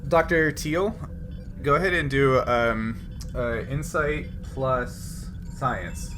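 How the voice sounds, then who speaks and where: A man narrating a tabletop game as game master, thinking aloud in a halting way as he improvises. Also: mid-range voice. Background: music.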